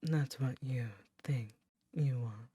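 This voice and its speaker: An ancient demon queen, as a woman puts her on, whispering very low and dark right up against a close mic. She speaks slowly and intimately, teasing yet motherly. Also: husky, dry recording, heavily acted. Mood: seductive. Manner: teasing, then gently nurturing. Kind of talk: ASMR audio roleplay.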